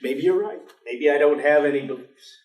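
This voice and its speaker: A man's voice: on the high side, normal speed, darkish tone, close to an everyday voice. A man acting in a staged play reading as a despairing, stubborn professor, speaking in formal, precise, clipped phrases. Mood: resigned. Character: despairing, weary intellectual male professor, curt and stubborn. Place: theatre stage. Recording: distant, echoey theatre stage recording.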